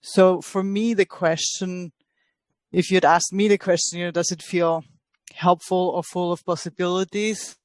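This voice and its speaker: A woman's voice: lowish voice, level tone, measured pace, darkish tone, dry recording. Woman from Austria, enthusiastic webinar question, dry sound. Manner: enthusiastic, chatty, self-mocking. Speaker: participant asking a question.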